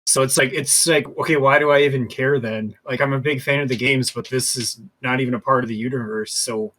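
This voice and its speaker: A man with a middle-pitched voice chats as a podcast co-host. His talk is casual and conversational, peppered with filler words. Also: steady tone, a little fast, a bit bright, slight background noise, low-quality recording. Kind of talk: casual gaming podcast discussion.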